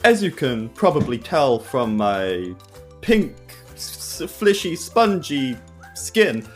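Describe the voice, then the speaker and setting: A man's voice: mid-range voice, very animated, slow, soft background music. A man playing a dramatic showman leader who announces a garment theatrically in a tabletop game. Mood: grandly theatrical.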